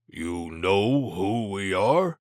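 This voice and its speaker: Audiobook role: diplomatic male agent read by a man, low-voiced, measured, smoothing things over in a conciliatory way. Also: animated, no echo, clean studio sound, full character voice. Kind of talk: audiobook character dialogue.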